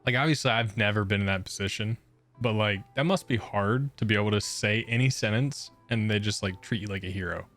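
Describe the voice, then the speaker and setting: Low voiced dude doing chatty slangy game commentary, some music behind him. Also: male voice, a little fast, a bit bright. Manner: slangy, chatty, joking asides. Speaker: gaming streamer. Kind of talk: casual reaction commentary over a game.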